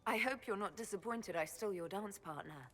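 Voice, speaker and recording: Composed heroine in a reverberant hall, actress. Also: female voice, medium pitch, brisk, a bit bright, faint music behind, natural voice. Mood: measured. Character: composed, wry heroic woman leader. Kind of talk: video game voice acting.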